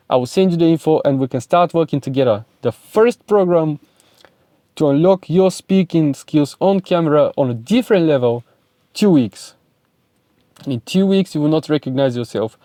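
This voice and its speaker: A man's voice: a little high, normal speed, warm tone, no room sound, clean studio sound. A young man with a Bulgarian accent, giving an emphatic, repetitive motivational talk straight to camera. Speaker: self-improvement vlogger and speaking coach.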